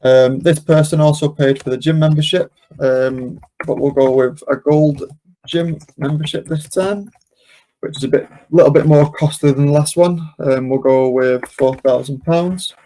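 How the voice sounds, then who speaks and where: A man presenting an informal software walkthrough, explaining casually step by step. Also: on the high side, fairly even intonation, normal speed, slight background noise, rough recording. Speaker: software demonstrator, webinar presenter. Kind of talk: informal screen-share walkthrough.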